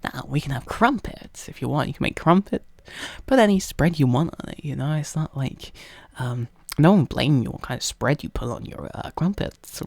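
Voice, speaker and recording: A young British man doing a playful, coaxing ASMR roleplay in casual instructional chat, often slipping into a breathy whisper. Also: fairly high pitch, expressive, moderate pace, dry recording.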